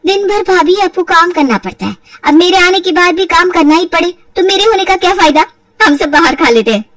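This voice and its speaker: Woman as sly polite young bahu in a cartoon story, high voice, pointed remarks, dry sound. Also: expressive, fairly quick, fairly bright tone, dry recording, far from the mic. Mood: sweetly pointed. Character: shrewd young daughter-in-law, sweetly sly, weary of taunts. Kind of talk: acted Hindi moral story for cartoon.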